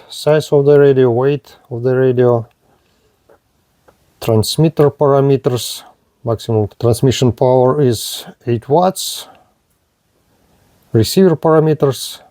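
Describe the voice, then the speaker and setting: Slow-paced man with a dark, mellow voice. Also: mid-range voice, dry recording. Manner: halting, short phrases. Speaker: product reviewer. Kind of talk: product review and demonstration.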